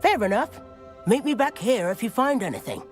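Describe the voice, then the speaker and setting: A woman's voice: fairly high pitch, expressive, normal speed, music in the background, natural voice. A woman playing an eager, bookish teenage witch schoolgirl in a video game, with a British accent and a chirpy, well-spoken, inquisitive manner. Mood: friendly, eager. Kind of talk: video game cutscene acting.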